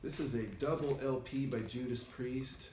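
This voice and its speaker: A soft-spoken man co-hosting a casual chat in a record store, talking in a low-key, rambling way. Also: moderate pace, slight background noise. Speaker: record store owner co-host. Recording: echoey store, mic at a distance.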